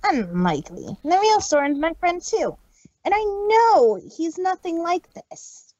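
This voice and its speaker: A woman voicing a brash, loyal, defiant female pegasus pony in a cartoon fan fiction audio drama, husky and emphatic. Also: very high voice, sing-song, unhurried, darkish tone, no room sound, muffled recording, full character voice.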